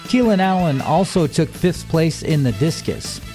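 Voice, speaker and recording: A man reads a scripted local news bulletin in a mellow, fairly high-set voice. Music plays faintly behind him as he works through community updates. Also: animated, measured pace, rough recording. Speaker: local radio news reader.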